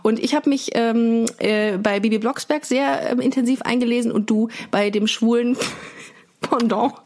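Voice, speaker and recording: A woman's voice: on the high side, fairly even intonation, measured pace, a little mellow. A woman hosting a casual podcast chat in a joking, ironic way. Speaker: podcast host.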